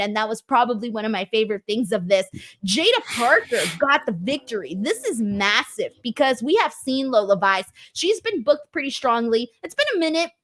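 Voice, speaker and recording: A woman's voice: a little high, a bit bright. A woman hosting a casual wrestling review show, recapping matches with enthusiasm. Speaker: host.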